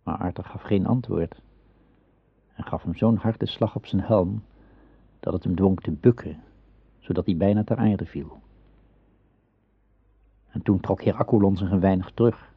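A man narrating a radio play as a storyteller, in a measured storybook manner. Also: low-pitched, vocal fry, slightly rough, no echo. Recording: slightly dull radio play recording.

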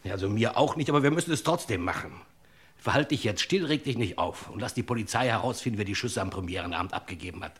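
An actor in a German radio crime drama, playing a film star's brisk, scheming friend who persuades and takes charge. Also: male voice, on the low side, animated, slightly rough. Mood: brisk, reassuring.

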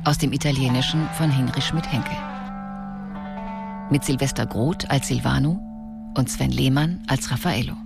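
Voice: medium pitch, moderate pace, creaky, long pauses. An announcer reading scripted radio drama credits. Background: loud plucked guitar music.

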